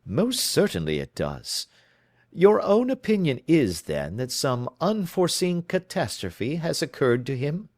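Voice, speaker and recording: Man reading as a brisk, assured detective, lively emphatic delivery, storybook reading. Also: a little high, no room sound, studio quality, pitched above the performer's own voice, exaggerated voice. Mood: authoritative. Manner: crisp, authoritative, reassuring. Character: brisk, self-assured Victorian male detective.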